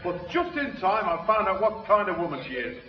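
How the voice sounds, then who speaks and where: An actor playing a grumbling, put-upon neighbour in a 1960s radio sitcom. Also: male voice, sits high, sing-song, brisk, echoey room, recorded from a distance, a little hiss or hum. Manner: grumbling, aggrieved. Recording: dull 1960s radio recording.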